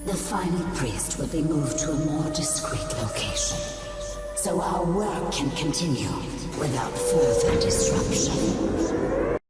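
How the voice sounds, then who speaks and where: An actress voicing a cold, commanding alien ruler in a game, with a grave manner. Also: female voice, low voice, expressive, slow pace. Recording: heavy echo with loud music.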